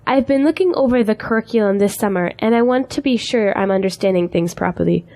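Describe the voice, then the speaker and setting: A woman's voice: medium pitch, quick pace, mellow, close to an everyday voice. A woman playing an eager, idealistic young schoolteacher in an audio drama, with an earnest, polite way of talking. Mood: concerned, hesitant.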